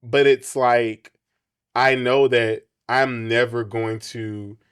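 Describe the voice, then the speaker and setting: A man's voice: mid-range voice, little pitch movement, takes it slowly, fairly bright tone, clean tone. Enthusiastic male interview host. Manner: supportive, enthusiastic, uses slang. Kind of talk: casual conversational interview.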